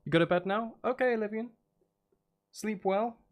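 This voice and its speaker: A man's voice: sits high, moderate pace. A man chatting casually on a live stream, rambling and thinking aloud in a hesitant way. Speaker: live streamer composing game music.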